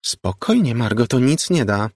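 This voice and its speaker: An eerie little ghost girl from a horror audiobook, performed by a man. The voice is lifted high and swings in pitch, calm yet insolent, scolding and condescending as it taunts. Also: moderate pace, dry recording, clean studio sound.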